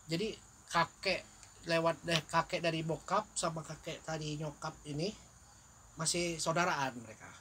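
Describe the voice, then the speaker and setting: A young man from Indonesia tells a rambling story in casual chat, stopping, restarting and laughing along the way. His voice sits a little high and sounds bright and forceful. Faint crickets and insects hum behind him. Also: normal speed. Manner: laughs often, tells stories with restarts and fillers. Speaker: guest.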